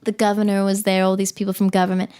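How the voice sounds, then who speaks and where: A woman's voice: mid-range voice, completely flat delivery, fast talker, warm tone, clear, resonant tone. Chatty woman from the Cayman Islands. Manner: chatty, many fillers and restarts. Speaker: podcast guest. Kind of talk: casual interview chat.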